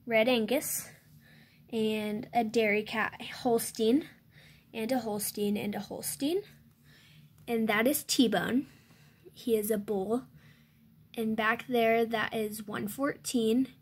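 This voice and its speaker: A woman's voice: a little high, breathy edge. A young woman or girl filming on a handheld phone indoors, giving an unscripted show-and-tell tour of a toy farm, hesitant, with many ums and self-corrections. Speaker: channel host giving a toy barn tour. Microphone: handheld phone filming close.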